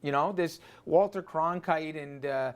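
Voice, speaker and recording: An American man hosting a casual panel discussion, speaking haltingly as he searches for his words. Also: fairly high pitch, clear, resonant tone. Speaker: panel show host.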